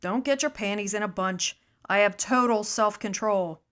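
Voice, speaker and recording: A scruffy, unbothered young man, as a woman narrator puts him on, drawls his lines in an easy, deadpan way. The voice stays fairly light and only slightly lowered. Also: moderate pace, natural voice. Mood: breezy.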